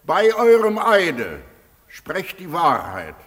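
Slow, stern judge in a hall. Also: male voice, high voice, huge pitch swings, a bit bright, full character voice. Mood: probing, impatient. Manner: measured, formal, questioning. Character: stern, impatient presiding judge. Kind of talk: theatrical German radio play. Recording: long courtroom-like hall reverb.

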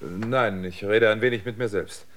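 Radio play role, male actor: steady, inquisitive elderly male newcomer, lowish pitch, measured and plain-spoken, clear background noise. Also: natural voice. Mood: calm, wary. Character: newcomer man of eighty-six, inquisitive, steady, wary. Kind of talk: German radio play acting.

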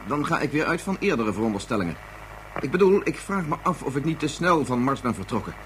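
A man's voice: mid-range voice, very animated, fast, fairly bright tone. A man acting a troubled, earnest scientist father full of doubt in a Dutch science fiction radio drama. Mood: troubled, reflective. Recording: slightly noisy radio play recording.

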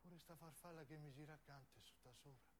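An actor playing an anguished young man dying in a crash, in slow, fragmented Italian radio theatre with a southern accent. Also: on the high side, a little hiss or hum, natural voice. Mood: dazed, fading. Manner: slow, fragmented, intense delivery. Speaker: narrating stage actor. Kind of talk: dramatic monologue, narrative radio theatre.

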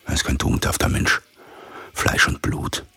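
An actor in a radio drama playing a pompous, befuddled, moralizing army captain who speaks haltingly and repeats himself. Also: male voice, pushed and bright. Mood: self-righteous, musing. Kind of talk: theatrical radio drama acting.

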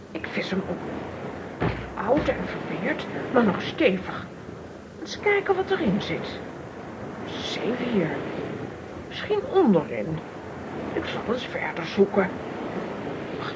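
A woman playing an excitable, dramatic, daydreaming aunt in a children's radio play, talking aloud to herself theatrically. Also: medium pitch, heavy background noise. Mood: musing.

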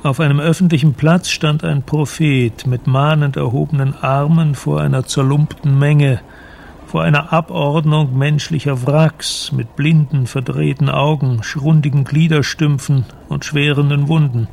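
An actor as a grave storyteller, delivering scripted literary narration for a radio drama. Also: male voice, fairly high pitch, steady tone, unhurried, creaky, darkish tone, slight background noise.

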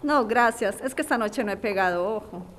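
A woman's voice: mid-range voice, lively intonation, a little fast, slight background noise, lightly acted. A woman playing a weary, polite, deferential household cleaner in an audio drama.

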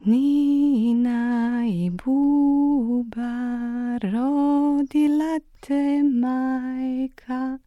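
A woman's voice: high-pitched, fairly even intonation, very slow, no echo, dull audio, soft background music. An actress playing a mother who sings a tender lullaby in a radio play.

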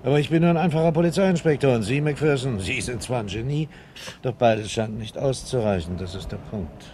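An actor playing an exasperated, sarcastic police inspector with a blustering, scolding manner in a German radio crime drama. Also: male voice, mid-range voice, a little fast, darkish tone, close to an everyday voice. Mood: frustrated, brooding. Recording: noisy 1970s radio drama recording.

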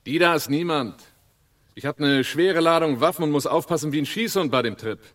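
A man's voice: fairly high pitch, brisk, a bit bright, lightly acted. An actor playing a blunt, wary male gun dealer with a sardonic manner in a radio drama.

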